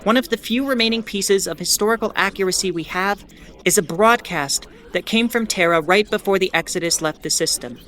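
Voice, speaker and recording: A woman's voice: medium pitch, brisk, a bit bright, natural voice. A woman playing a wry, defiant bulletin host aboard a spaceship, narrating a scripted audio drama in a conversational, explanatory way. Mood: solemn, storytelling. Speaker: host of an in-world radio bulletin.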